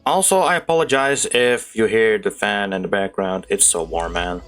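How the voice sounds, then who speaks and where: A man's voice: on the low side, moderate pace, fairly bright tone. A young man giving casual let's play commentary, rambling informally and correcting himself as he goes. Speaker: gaming video host. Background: game music.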